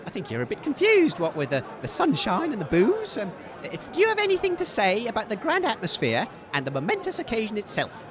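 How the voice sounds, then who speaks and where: A man's voice: high voice, wildly swooping pitch, fairly quick, hardly any pauses. A man playing a hapless, eager radio presenter in a comedy, polite, hesitant and easily flustered. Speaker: radio host. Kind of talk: comedy roving-reporter interviews. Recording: outdoor crowd noise.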